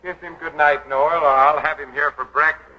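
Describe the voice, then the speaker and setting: An actor playing a suave, calculating industrialist in a 1940s radio drama, sly and unruffled. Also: male voice, on the high side, steady tone, moderate pace, natural voice. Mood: genial, watchful. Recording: dull lo-fi 1940s radio broadcast.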